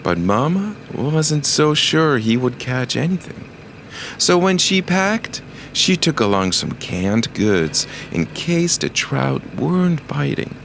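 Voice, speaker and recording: A man narrating a scripted children's story as an expressive storyteller. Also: medium pitch, slow pace, creaky, slight background noise.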